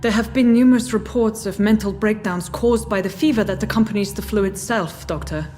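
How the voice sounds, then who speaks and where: An actress playing a defiant, idealistic nurse in a video game drama, speaking in an articulate, formal way. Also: female voice, medium pitch, brisk, darkish tone, close to an everyday voice. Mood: concerned. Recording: game cut-scene with music underneath.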